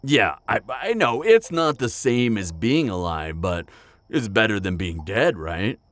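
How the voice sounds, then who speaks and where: A man playing a chatty, pragmatic necromancer in an audio roleplay, talking casually and a little haltingly straight to the listener. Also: fairly low pitch, big pitch swings, a bit bright. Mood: businesslike, eager. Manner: casual, hesitant asides, frequent chuckling. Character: chatty, upbeat, pragmatic male necromancer, former tailor. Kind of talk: conversational roleplay addressed to the listener.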